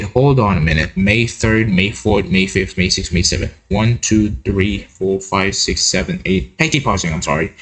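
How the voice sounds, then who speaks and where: A man giving casual, excitable reaction commentary, repeating words and breaking into his own read-aloud with asides. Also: lowish voice, rough recording. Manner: excitable, repeats words, interjects while reading. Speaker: host of a reaction video.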